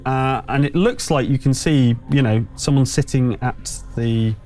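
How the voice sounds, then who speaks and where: A man acts as game master for a tabletop game at a middle pitch. He improvises his narration in a conversational, halting way. Faint music plays behind him. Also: a little mellow. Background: background music.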